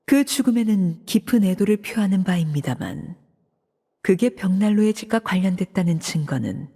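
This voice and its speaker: A woman voicing a cold, composed female leader in a video game, speaking in a measured, formal, controlled way. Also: medium pitch, sing-song, dark tone, breathy edge. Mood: cool. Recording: reverberant game audio.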